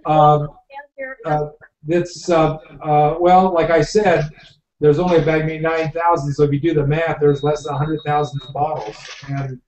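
A man's voice: a little high, little pitch movement, a little slow, a little mellow. An older man who owns a winery, chatting at length with many fillers while hosting a live tasting over a video call from a home office. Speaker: winery owner. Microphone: video call webcam. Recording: dull, echoey webcam audio from far off.

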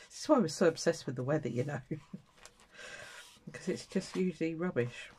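A woman's voice: low-pitched, lively intonation, moderate pace, darkish tone, a little hiss or hum. Snuffly grandmother chatting while crafting. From England. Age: older. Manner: chatty, self-deprecating, talks while working with her hands. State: stuffy-nosed with a cold. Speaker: craft channel host. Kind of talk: casual chat while crafting. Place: indoors at a table, hands-only overhead camera.

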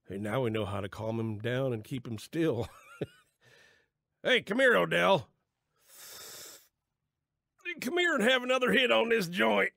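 A man's voice: on the high side, vocal fry, a bit bright, no room sound. An actor playing an easygoing, folksy, teasing pot-smoking male relative in an audio drama.

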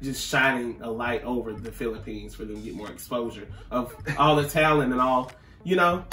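A man's voice: medium pitch, normal speed, pushed and bright, distant mic. An American man in his thirties, co-hosting a reaction video in casual conversation. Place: indoor room with fabric backdrop. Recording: echoey room.

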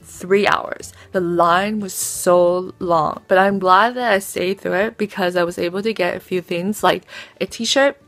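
A woman's voice: on the low side, a bit bright. A young woman chatting upbeat in a casual haul vlog, filmed on a phone at arm's length in a bedroom. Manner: chatty and upbeat, with frequent ums. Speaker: vlogger. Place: indoor bedroom, close to camera. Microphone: phone camera at arm's length.

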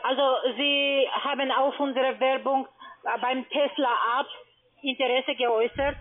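A woman with a foreign, non-native German accent giving a halting telephone sales pitch, repeating and rephrasing as she explains. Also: steady tone. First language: not German. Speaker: call-centre sales agent pitching trading. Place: phone call. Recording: tinny, narrow-band phone line.

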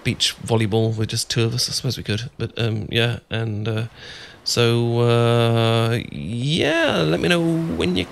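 A man's voice: mid-range voice, unhurried, creaky, few pauses. A man with a British accent acting an awkward, easily flustered, bashful friend in a romantic ASMR audio roleplay, stammering and rambling as he goes. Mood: awkward, hesitant.

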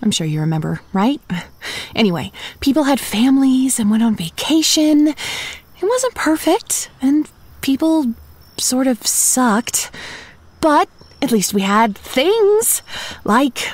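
A woman's voice: high-pitched, very animated, slow pace, full character voice. An actress playing a chatty, quirky zombie woman in her thirties, narrating a comedic audio drama with wry, playful asides. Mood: sardonic, rambling. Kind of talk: comedic audio drama monologue, breaking the fourth wall.

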